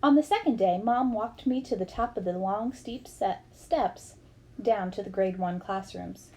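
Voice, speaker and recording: A woman's voice: medium pitch, a little slow, a little mellow. A woman reading a memoir aloud as scripted storybook narration. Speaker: reader of a book aloud.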